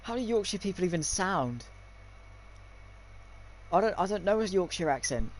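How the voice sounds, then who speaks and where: High-pitched young man commentating on sim racing. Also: very animated, moderate pace. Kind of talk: live esports race commentary with casual chat.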